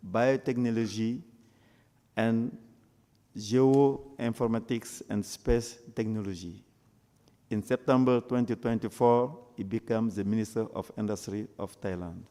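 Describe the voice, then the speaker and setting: Guy chairing the meeting, mellow low tone, formal, reads off a list, hall echo. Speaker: conference president chairing the meeting. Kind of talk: formal introduction of a speaker. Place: large conference hall. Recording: long hall reverb.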